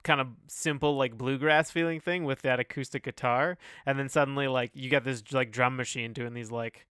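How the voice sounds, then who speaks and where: Male podcast co-host, crisp and bright, conversational music talk with a searching, hesitant delivery. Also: medium pitch, steady tone, normal speed, no room sound, studio quality. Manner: hesitant, groping for descriptive words. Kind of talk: casual chat reviewing songs.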